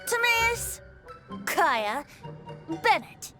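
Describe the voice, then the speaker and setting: A woman's voice: very high voice, big pitch swings, drawn-out words, music in the background, full character voice. A woman voicing a sly, carefree young male bard in a video game, speaking in a theatrical, mock-formal manner. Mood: cheerful, lecturing. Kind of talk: video game character acting.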